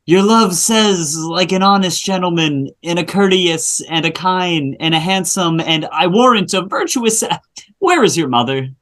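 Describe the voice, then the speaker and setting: A woman's voice: on the low side, expressive, unhurried, fairly bright tone. A woman reading the part of a weary, achy, teasing old nurse from a Shakespeare play, with huffing exclamations. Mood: indignant. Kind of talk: Shakespeare play read aloud.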